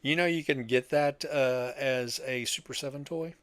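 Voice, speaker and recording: A man's voice: medium pitch, normal speed, no room sound. An older man co-hosting a podcast in casual, conversational chat. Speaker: podcast co-host.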